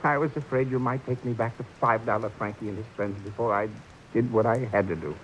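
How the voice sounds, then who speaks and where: An actor playing a guilt-ridden, meek older ex-horse trainer in a vintage radio drama, talking earnestly and pleadingly. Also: male voice, a little fast, faint music behind, lightly acted. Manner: earnest, pleading, jumpy pitch. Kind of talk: 1940s radio acting. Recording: dull lo-fi old radio broadcast.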